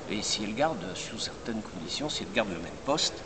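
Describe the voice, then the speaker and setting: An older man heading a recruitment firm, answering interview questions in a measured way with many hesitations and restarts. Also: on the low side, expressive. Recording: loud background noise.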